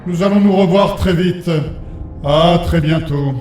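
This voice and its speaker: A man's voice: high voice, measured pace, heavy background noise. A man playing an all-powerful, menacing villain in a fantasy audio drama, cryptic, solemn and ominous. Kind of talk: French fantasy audio fiction acting. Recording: echoing, distant, music underneath.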